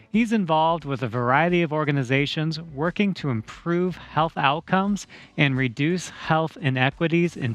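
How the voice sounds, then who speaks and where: Higher-voiced male host, dry sound, faint music. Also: a bit bright, no echo. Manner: measured, read-from-script delivery. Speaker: interview host. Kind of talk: scripted introduction and questions.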